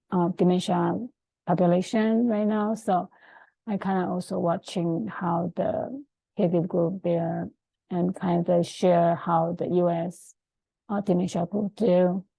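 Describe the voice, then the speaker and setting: Low, dry-sounding middle-aged woman, chinese is her first language, lots of hesitant pauses. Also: gravelly, mellow, no echo, poor audio. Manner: halting, hesitant, frequent pauses mid-sentence. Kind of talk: informal presentation with screen share.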